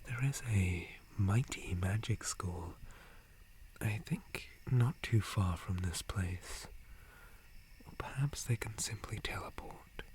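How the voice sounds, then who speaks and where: A man playing a gentle, calm, friendly dryad forest guardian in a breathy ASMR whisper, with a soothing manner. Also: lowish voice, low-quality recording. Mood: calm, reflective. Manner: slow, soothing, unhurried. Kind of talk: ASMR roleplay.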